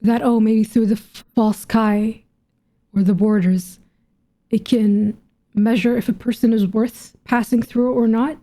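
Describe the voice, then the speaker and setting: A woman's voice: fairly high pitch, steady tone, unhurried, dark tone. A woman streamer giving casual game commentary, thinking aloud in a musing, questioning, informally sweary way. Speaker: gaming streamer reacting to game story.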